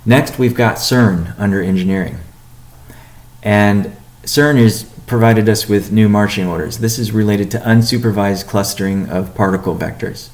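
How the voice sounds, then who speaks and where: A man giving a company update on a conference call as its chief executive, speaking in a measured, halting way with deliberate pauses. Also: fairly low pitch, a little mellow. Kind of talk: business conference call presentation.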